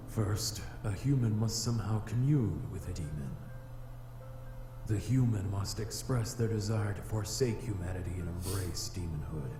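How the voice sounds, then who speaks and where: A very low, solemn demon of fire, acted out by a man for a visual novel. He is aloof and haughty, with stiff formal diction. His voice rings in long reverb over clear background music. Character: solemn male fire demon, vengeful, aloof, easily flustered. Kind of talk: visual novel character acting. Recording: echoey reverb with music behind.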